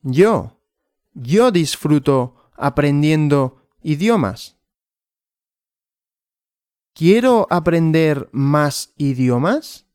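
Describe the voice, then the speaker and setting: A man teaches a language lesson at a very slow, careful pace, asking simple questions and answering them himself. His pitch rises and falls widely, and the sound is dry and close. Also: lively intonation, a little mellow, no room sound. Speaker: Spanish teacher.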